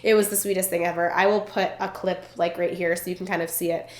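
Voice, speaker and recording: A woman's voice: on the low side, level tone, fast. Chatty congested woman in a roomy room. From Vermont. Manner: chatty, bubbly, lots of fillers. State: stuffed up, congested. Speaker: vlogger. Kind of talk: casual chat to camera. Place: indoors at a relative's home. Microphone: camera in front while seated. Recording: roomy echo.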